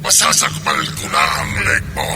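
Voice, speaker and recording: Gravelly, menacing demon dog. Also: male voice, a bass voice, flat delivery. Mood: gloating, savage. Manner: menacing, drawn-out declamation. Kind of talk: horror radio drama acting. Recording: loud dramatic music under the voice.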